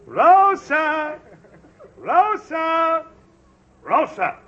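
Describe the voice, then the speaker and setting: Jolly Italian papa, Italian accent, high swooping voice, dull hissy old radio sound. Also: male voice, big pitch swings, unhurried, full character voice. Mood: jovial. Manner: booming, broken English, jovial. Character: boisterous Italian immigrant father, jolly and bossy. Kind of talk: 1950s radio comedy acting. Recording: dull lo-fi old radio recording with loud hiss.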